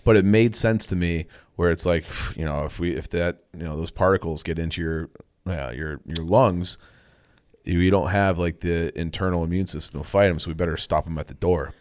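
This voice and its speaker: Deep-voiced man in dry studio chat. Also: moderate pace, clean tone, no room sound. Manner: rambling, laid-back storyteller. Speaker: podcast co-host. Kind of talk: casual chat.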